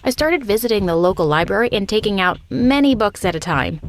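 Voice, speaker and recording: A young woman giving a scripted first-person narration of an animated story, in an expressive, confiding storytelling manner. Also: mid-range voice, a little fast, slight background noise.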